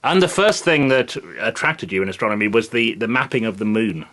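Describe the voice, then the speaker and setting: A man interviewing a guest, building long, measured questions clause by clause. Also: on the low side, expressive, fairly bright tone.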